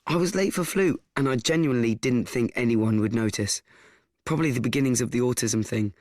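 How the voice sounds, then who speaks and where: A man acting a frank, awkward teenage boy narrating his life in a radio play, deadpan, confiding and self-deprecating. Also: mid-range voice, a little fast, no echo, natural voice. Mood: wry, confiding.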